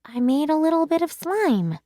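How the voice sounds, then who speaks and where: Woman voicing an earnest, high-pitched storybook schoolgirl. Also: sing-song, normal speed, mellow, no room sound, clean studio sound. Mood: sheepish, defensive. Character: earnest, defensive young schoolgirl who longs for a pet. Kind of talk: children's storybook read-aloud.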